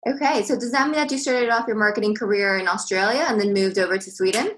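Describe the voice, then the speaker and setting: A woman's voice: mid-range voice, fast talker, a bit bright. A woman hosting a marketing podcast, asking her guest questions in an upbeat, eager way. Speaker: podcast host. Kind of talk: interview hosting.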